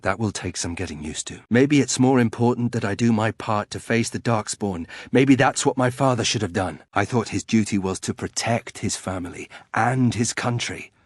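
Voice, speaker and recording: Man acting a low, husky brooding nobleman. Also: normal speed, hardly any pauses, no room sound, close to an everyday voice. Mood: somber, resolute. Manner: terse, wry, guarded. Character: proud, brooding young nobleman archer turned reluctant recruit. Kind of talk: video game character acting.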